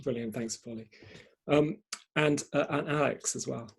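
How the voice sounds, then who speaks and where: A man's voice: mid-range voice, fairly even intonation, moderate pace, gravelly, slight background noise. A man hosting an online panel discussion, speaking hesitantly and often repeating words as he goes. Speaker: event host.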